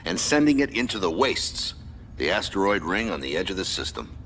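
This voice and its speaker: An actor playing a stern military commander in a video game, briefing troops in a clipped, formal, authoritative manner. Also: male voice, deep voice, very animated, brisk, a bit bright, slight background noise, heavily acted. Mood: grave.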